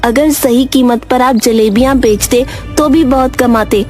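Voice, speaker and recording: A woman's voice: on the high side, measured pace, darkish tone, faint music behind, close to an everyday voice. A woman voicing a stubborn, principled young village son in a Hindi cartoon, earnest and polite. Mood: earnest, determined. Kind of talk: Hindi moral-story cartoon acting.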